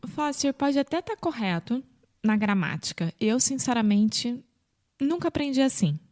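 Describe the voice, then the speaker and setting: A woman with a Rio de Janeiro accent, co-hosting a language podcast and patiently explaining everyday greetings, acting out examples with bright jumps in pitch. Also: lively intonation, measured pace. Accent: Rio de Janeiro Portuguese. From Brazil. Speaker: podcast co-host teaching Portuguese. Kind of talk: casual teaching chat.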